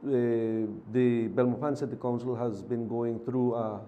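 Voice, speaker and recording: A man who is a city mayor, speaking as a TV studio guest in measured, formal terms. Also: medium pitch, little pitch movement, narrow, tinny audio. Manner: measured, formal civic phrasing. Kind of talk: TV interview guest.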